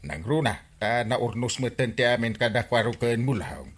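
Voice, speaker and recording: A man playing an excitable, folksy village captain in a radio comedy-drama, with an Ilocano accent and exclamatory, hesitant interjections. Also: mid-range voice, normal speed, natural voice. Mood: folksy advising.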